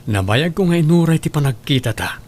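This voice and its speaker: A man's voice: fairly high pitch, expressive, a little fast, darkish tone. A man with a Filipino accent playing a polite young boyfriend in a comedy drama, speaking courteously. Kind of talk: amateur comedy drama acting.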